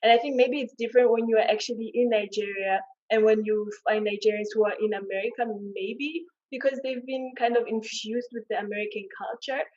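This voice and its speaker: A woman's voice: a little high, steady tone, fairly quick, a little mellow, highs cut off. A woman hosting a panel conversation, friendly and admiring, asking long, rambling questions. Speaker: host.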